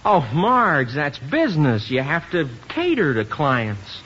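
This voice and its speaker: Breezy young husband, swooping pitch. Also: male voice, wildly swooping pitch, slow, sharp tone, heavily acted. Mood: breezy, evasive. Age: young adult. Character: breezy, defensive young husband, easily exasperated. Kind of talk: 1950s radio comedy acting. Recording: muffled, noisy 1950s radio recording.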